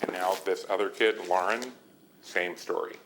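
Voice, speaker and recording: Low-voiced, grave male investigator. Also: steady tone, normal speed. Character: grave male investigator alarmed by hidden cult messages. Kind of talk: naturalistic recorded meeting dialogue. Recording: noisy meeting tape.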